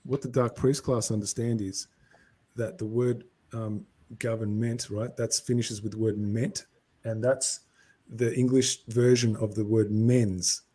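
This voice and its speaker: A man's voice: lowish voice, little pitch movement, unhurried, mellow. A man on a live stream, answering viewer comments in a lecturing way.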